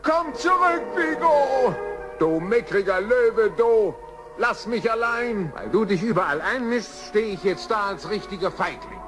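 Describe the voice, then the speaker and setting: An actor playing a stubborn, proud old male animal in a children's audio drama, gruff and defiant. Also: high-pitched, expressive, measured pace, fairly bright tone, faint music behind. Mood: indignant, shouting.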